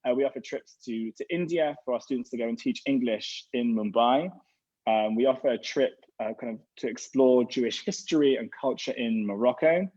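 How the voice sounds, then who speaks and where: A man's voice: mid-range voice, fairly quick, a little mellow. A man, a rabbi, talking about his program in a casual interview over a video call, rambling and restarting his sentences. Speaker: rabbi representing a gap year program. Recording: dull lo-fi sound.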